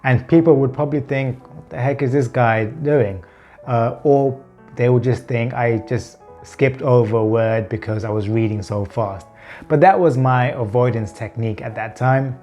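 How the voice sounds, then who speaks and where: A man's voice: medium pitch, normal speed, warm tone, dull audio, faint music behind. A man in his thirties talking to camera in a personal vlog, earnest and open, stammering with repeated words and blocks left unedited. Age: 30s. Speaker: vlogger.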